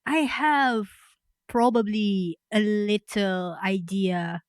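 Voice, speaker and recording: Unhurried woman streamer, dry close sound. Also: medium pitch, clean tone, no echo. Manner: rambling, self-correcting, trailing off. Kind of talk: casual live-stream chat.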